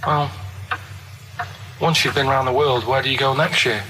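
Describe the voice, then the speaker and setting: A man's voice: mid-range voice, steady tone, normal speed, background noise. A man chatting casually as a radio co-host, downbeat, grumpy and matter-of-fact.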